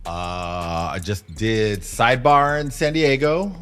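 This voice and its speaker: A man with a lively, swinging intonation chats casually as a DJ on a podcast. His talk is slangy banter, with music faintly underneath. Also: lowish voice, slow, smooth. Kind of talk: casual chat.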